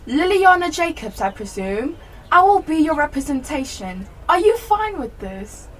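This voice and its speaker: A teenage woman playing a brisk, assertive defence lawyer in an amateur radio play. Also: high voice, sing-song. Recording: distant mic, light background noise.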